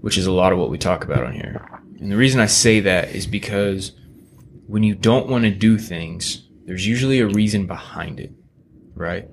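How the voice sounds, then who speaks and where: A man's voice: low-pitched, moderate pace, a little hiss or hum. A man co-hosting a podcast, explaining his views earnestly and checking in with "right?" as he goes. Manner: earnest, reflective, uses "right?" often. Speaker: podcast co-host. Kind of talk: casual podcast chat.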